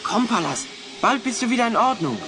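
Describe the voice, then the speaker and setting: A man's voice: extremely high pitch, very animated, a little fast, noisy background. A man playing a bold, resourceful adventurer hero in a German children's audio drama, eagerly explaining his plans. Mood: urgent, excited.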